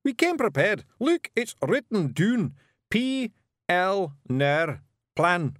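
Brief: tiny Scottish fairy brawler, high, brassy and loud, boisterous, swinging all over. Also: male voice, very animated, slow pace, no room sound, studio quality, full character voice. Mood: cheery. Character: tiny brash male fairy warrior chief.

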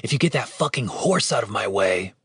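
Man doing a grumpy, muttering low-toned adventurer. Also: expressive, a bit bright, slightly rough, no room sound, clean studio sound, full character voice. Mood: irritated. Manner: muttering, hissing, slow. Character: grumpy, foul-mouthed male adventurer.

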